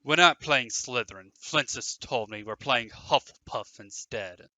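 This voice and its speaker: A man's voice: medium pitch, animated, a little slow, pushed and bright, no room sound. A man playing an intense, driven teenage boy sports captain in an audio drama, urgent and emphatic. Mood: livid, urgent.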